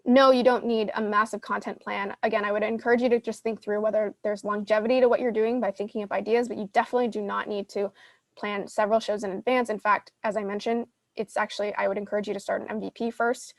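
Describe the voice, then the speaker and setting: A woman's voice: mid-range voice, little pitch movement, fast talker, no room sound. A woman answering audience questions in a casual teaching session, conversational and thinking aloud as she explains. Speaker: podcast host teaching a live Q&A session.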